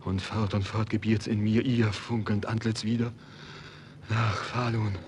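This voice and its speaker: A man's voice: lowish voice, level tone, a little slow, a little mellow, a little hiss or hum, close to an everyday voice. An actor playing a feverish, yearning man in an impassioned, declamatory classical verse radio drama. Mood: ecstatic resolve. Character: feverish, yearning man obsessed with a supernatural beloved.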